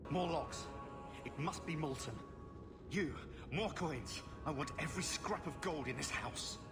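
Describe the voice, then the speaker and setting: A man's voice: a little high, measured pace, over music. An actor playing a crazed, greedy and lustful nobleman in a fantasy video game, gloating as if in a depraved reverie. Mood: greedy, manic.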